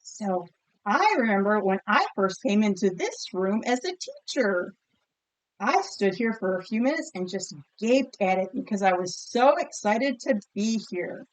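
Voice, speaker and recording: A woman with a mid-pitched voice teaches a read-aloud lesson. She speaks as an encouraging teacher, posing questions to children and pronouncing words distinctly so they can repeat them. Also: very animated, a little slow, rough recording.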